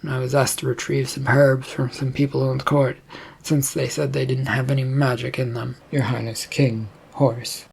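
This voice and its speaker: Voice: mid-range voice, flat delivery, moderate pace, vocal fry, husky. A narrator voicing a distrustful young man in a storybook, muttering, hesitant and sarcastic. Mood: nervous, evasive. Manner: muttering, stammering, grudging.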